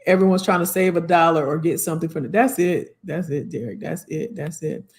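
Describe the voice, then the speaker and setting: A woman's voice: low-pitched, a little fast, darkish tone. Chatty American woman hosting a casual live stream. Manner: chatty, familiar, repeats phrases. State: voice slightly hoarse. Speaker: career coach hosting a live Q&A.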